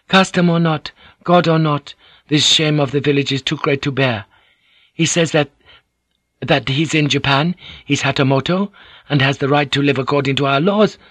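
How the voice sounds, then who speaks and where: A man's voice: on the high side, moderate pace, fairly bright tone, dry recording, voice pushed up. A man voicing a troubled noblewoman interpreter from Japan, speaking politely and hesitantly in an audiobook story. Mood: grave, agitated.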